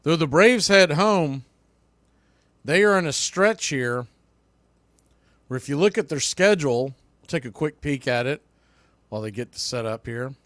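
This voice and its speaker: A man's voice: fairly high pitch, animated, a little slow, long pauses, a bit bright, dry recording. A man doing live baseball play-by-play in clipped short phrases, with casual asides.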